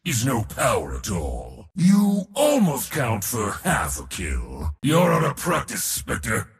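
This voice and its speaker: Imperious war god, video game villainy, wildly swooping pitch, gravelly edge, boastful taunts, merciless temper. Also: male voice, huge pitch swings, slow pace, heavily acted. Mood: grim finality, then mocking dismissal. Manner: imperious, taunting, theatrical declamation. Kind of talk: video game character voice lines.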